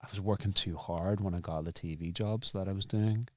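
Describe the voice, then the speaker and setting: A man's voice: sits low, steady tone, a little fast, a little mellow, no echo. A man hosting an interview in reflective conversation, rephrasing and repeating his points for emphasis. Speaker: podcast host and interviewer.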